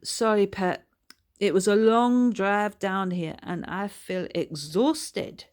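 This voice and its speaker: A woman's voice: mid-range voice, slow, darkish tone, lightly acted. A woman voicing a hearty, folksy older female adventurer in a storybook, with a lilting dialect and an affectionate, exclamatory manner. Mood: weary, cheerful.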